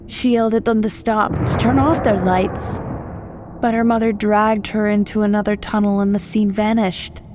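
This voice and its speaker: A woman's voice: mid-range voice, steady tone, moderate pace, background noise. A woman from the United States reading scripted horror fiction as a story narrator.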